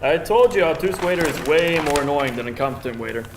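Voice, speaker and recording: A man's voice: a little high, expressive, fast. An actor playing a casual, smug, flirtatious man in a live table read in an echoey, noisy venue. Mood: playful. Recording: echoey live venue, clear background noise.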